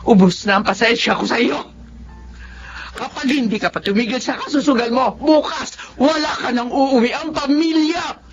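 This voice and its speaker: A woman's voice: sits high, normal speed, soft background music. A long-suffering middle-aged wife and mother in a Filipino radio drama. She is earnest, firm and reproachful. The recording is dull and lo-fi. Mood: angry, fed up.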